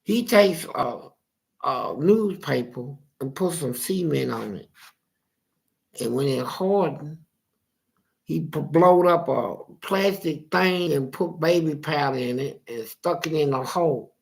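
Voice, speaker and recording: A man with a gravelly voice telling a story casually, in animated, profane street talk and acting out the dialogue. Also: fairly high pitch, slow pace. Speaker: interviewee telling his life story.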